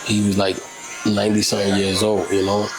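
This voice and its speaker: A man's voice: on the low side, completely flat delivery, a little fast, over loud music, poor audio. A man in his thirties, a native Spanish speaker from Puerto Rico, talking casually as a barber in an interview, rambling and often saying "you know". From Puerto Rican. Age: 30s.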